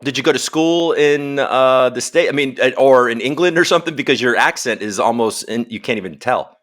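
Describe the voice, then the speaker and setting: A man's voice: medium pitch, brisk, a bit bright. Upbeat, chatty male podcast host. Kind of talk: casual interview chat. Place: home in Los Angeles.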